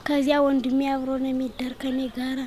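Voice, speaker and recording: A woman's voice: sits high, little pitch movement, racing, warm tone. A woman giving personal testimony in an outdoor interview.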